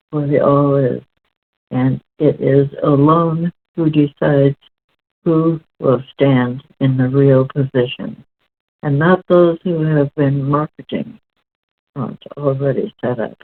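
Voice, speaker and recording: A woman reading spiritual text aloud as host, in a measured, deliberate way with halting phrasing. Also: sits low. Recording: muffled, very narrow lo-fi sound.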